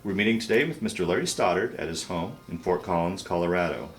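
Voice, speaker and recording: A male interviewer opening an oral-history session and asking short, measured questions. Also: low voice, animated, soft background music. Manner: brief, measured prompts and soft backchannels.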